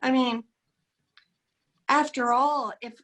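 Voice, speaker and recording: A woman's voice: high-pitched, steady tone, takes it slowly, a bit bright, heavily acted. A woman playing a naive, eager young boy in a radio play, chatting with wide-eyed earnestness. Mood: eager, earnest.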